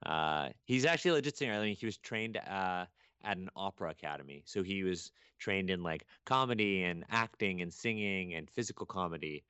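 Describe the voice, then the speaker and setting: A young man from Canada, a guest chatting casually on a podcast, rambling and animated with many restarts. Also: deep voice, brisk, clear, resonant tone, no echo, lo-fi sound. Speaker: guest archaeologist.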